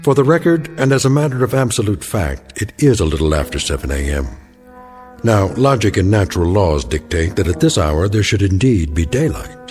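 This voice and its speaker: A man narrates in a measured, ominous tone, his voice low. Music runs beneath the narration. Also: expressive, a little mellow. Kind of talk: scripted narration.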